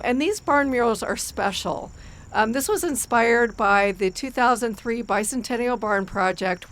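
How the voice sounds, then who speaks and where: A woman giving friendly dedication remarks outdoors, pausing often with ums and restarts. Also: fairly high pitch, rough recording. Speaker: state commemoration official. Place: outdoors at a rural barn by a busy road. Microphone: handheld microphone. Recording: faint outdoor background noise.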